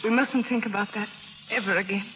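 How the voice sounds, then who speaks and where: A woman's voice: mid-range voice, fairly even intonation, a little fast, lightly acted. An actress playing a troubled, hesitant woman in love in 1930s radio drama style. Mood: hesitant, tender. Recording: muffled, noisy 1930s radio recording.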